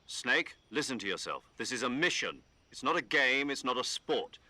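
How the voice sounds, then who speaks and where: An actor playing a brisk male commanding officer giving orders over the radio in a game cutscene. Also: medium pitch, big pitch swings, sharp tone, dry recording. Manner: brisk, lecturing. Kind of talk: video game cutscene acting.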